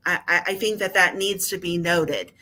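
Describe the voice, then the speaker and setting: A woman's voice: lowish voice, normal speed, pushed and bright. A woman co-hosting a literacy talk show, who talks in an affirming, chatty way and often adds her own agreement. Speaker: co-host. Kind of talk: panel discussion.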